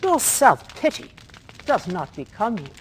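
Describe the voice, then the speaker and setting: A woman's voice: medium pitch, very animated, a little slow, low-quality recording. A woman playing a proud, sorrowful middle-aged mother who trades weapons in a fantasy video game, speaking in a formal, theatrical way. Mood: wistful, pained. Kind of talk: dramatic video game voice acting. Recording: faint background noise, clicks.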